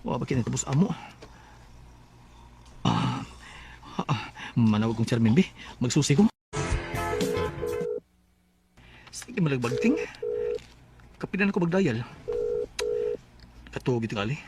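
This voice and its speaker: A man's voice: mid-range voice, sing-song, normal speed, long pauses, very noisy. An actor playing a devoted, homesick working-class husband and father in a Bisaya radio drama, anxious and reluctant, with a Filipino accent. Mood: uneasy, restless.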